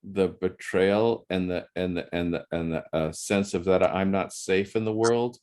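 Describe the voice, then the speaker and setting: A man's voice: low voice, steady tone, unhurried, darkish tone. A man hosting an interview, speaking reflectively and repeating words as he searches for the right ones. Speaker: interview host. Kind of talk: casual interview chat.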